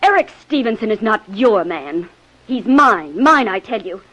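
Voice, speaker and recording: A woman's voice: mid-range voice, very animated, measured pace, fairly bright tone, rough recording. A woman playing a haughty, scheming young society wife in theatrical 1950s radio style. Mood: defiant. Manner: theatrical, disdainful.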